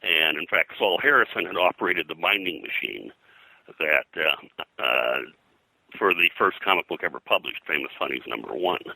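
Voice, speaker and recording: Older male interviewee, deep and strikingly crisp-bright, dry close sound, rambling detailed reminiscence. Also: expressive, normal speed, no echo, rough recording. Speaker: interview guest, veteran comics colorist.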